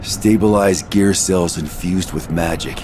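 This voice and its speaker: An actor playing a gruff, cynical man in an anime game, talking bluntly and casually with dry sarcasm. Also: low-pitched, a little slow, darkish tone, a little hiss or hum. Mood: wry. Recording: music behind the voice.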